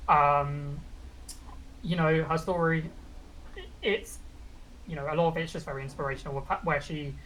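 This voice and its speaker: A man's voice: a little high, fairly even intonation, normal speed, pauses often, a bit bright, slight background noise, rough recording. A young British man with a regional accent, a VTuber guest chatting casually and rambling with lots of fillers. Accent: British, non-London regional. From Britain. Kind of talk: casual interview chat.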